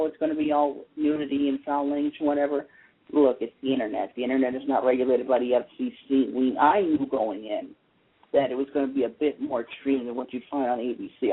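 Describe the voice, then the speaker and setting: A husky-voiced man with a slightly high pitch gives wry, opinionated takes on a talk show. He chats casually, like a devoted fan. The sound is narrow and tinny, like a phone call. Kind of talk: casual soap opera fan chat.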